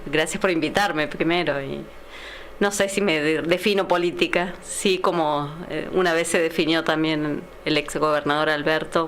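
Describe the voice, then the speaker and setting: A woman's voice: low voice, a bit bright, a little hiss or hum. A woman in her forties with an Argentine accent, a city councilor speaking thoughtfully with some hesitation in a radio interview. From San Luis, Argentina. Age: 40s.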